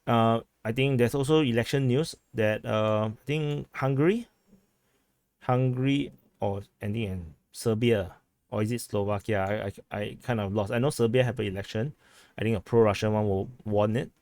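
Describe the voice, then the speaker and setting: A man hosting a vlog, giving casual commentary in a halting, rambling way full of restarts and fillers, his voice hoarse as he is losing it. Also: fairly low pitch, darkish tone, no echo. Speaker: vlog host giving news updates.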